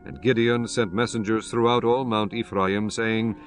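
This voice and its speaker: A man giving a measured, solemn scripted narration of scripture. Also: fairly low pitch, steady tone, soft background music.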